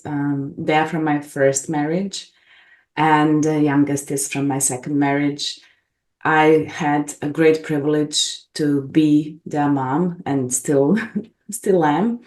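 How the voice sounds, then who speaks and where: A middle-aged woman from Bosnia and Herzegovina, a gentle, reflective guest speaking English as an over a video call. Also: deep voice. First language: Bosnian and Croatian. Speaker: regression facilitator, guest. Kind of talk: casual interview chat. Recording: echoey room.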